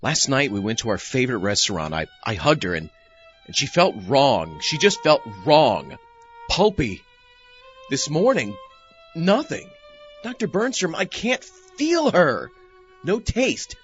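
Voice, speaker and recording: A man's voice: fairly high pitch, big pitch swings, unhurried, a bit bright, muffled recording, soft background music. A man playing an anguished, self-doubting husband in an audio drama, stammering and sighing. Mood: desperate. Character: anguished husband losing his senses, frantic and self-doubting.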